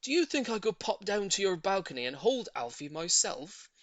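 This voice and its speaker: A woman playing an eager, earnest older gentleman neighbour in a storybook, kindly and lovestruck. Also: mid-range voice, big pitch swings, moderate pace, dry recording, pitched above the performer's own voice, lightly acted. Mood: eager, helpful.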